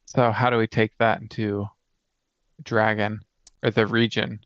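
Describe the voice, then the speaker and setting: A man's voice: on the low side, level tone, unhurried, fairly bright tone, no echo. A man co-hosting a casual chat and brainstorming tabletop fantasy lore, on a dull, lo-fi close mic. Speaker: podcast co-host.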